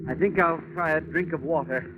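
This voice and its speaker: An actor playing an airsick, apologetic male passenger in 1940s radio drama, talking weakly and haltingly. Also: fairly high pitch, lightly acted. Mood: queasy, apologetic. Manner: weak, halting, sickly. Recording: noisy, tinny old radio, engine roar behind.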